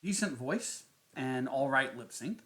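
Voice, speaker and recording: Bright-voiced man giving a tutorial. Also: mid-range voice, expressive, measured pace. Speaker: tutorial host. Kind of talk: step-by-step software tutorial narration.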